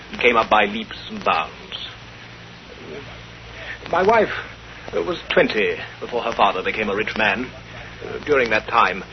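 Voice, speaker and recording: An actor playing a haughty, wounded aristocrat with an English accent, speaking in a stiff, halting, pompous way in a 1930s radio drama. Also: male voice, medium pitch, natural voice. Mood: stiff, deliberate. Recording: noisy, muffled 1930s radio recording.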